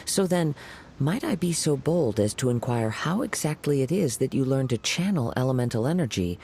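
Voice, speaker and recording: An actor playing a calm, courteous young wandering samurai in a game, speaking in a formal, measured way with soft whispery moments. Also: male voice, medium pitch, creaky, a little hiss or hum. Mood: musing. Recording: game cutscene with music behind.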